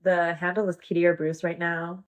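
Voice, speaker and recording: A woman's voice: on the low side, little pitch movement, normal speed. Giggly woman in her thirties. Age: 30s. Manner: giggly, rambling, lots of fillers. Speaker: guest. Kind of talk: casual interview chat.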